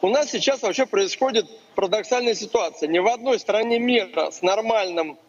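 A man's voice: high voice, brisk, lo-fi sound. A man, a guest commentator on a live remote link, arguing emphatically with rhetorical questions.

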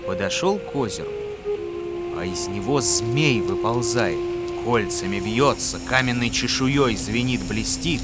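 A man narrating a folk fairy tale with a measured, expressive reading. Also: on the low side. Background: music.

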